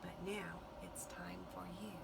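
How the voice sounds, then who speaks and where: Female storybook reader: unhurried, expressive, some vocal fry, noticeable background noise. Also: fairly low pitch. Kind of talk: expressive children's read-aloud narration.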